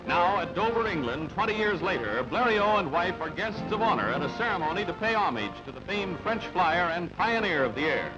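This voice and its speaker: A male newsreel announcer with a high-set voice delivers clipped, dramatic headline phrases. The narration is scripted and declarative. The sound is dull and lo-fi, with music prominent behind him. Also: sing-song, fairly quick, hardly any pauses. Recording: dull band-limited newsreel audio, loud music. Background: loud music.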